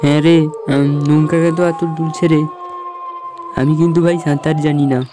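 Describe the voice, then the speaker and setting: A man's voice: on the high side, little pitch movement, brisk, music in the background, close to an everyday voice. An actor playing a nervous city boy in an audio story. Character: nervous city boy who cannot swim.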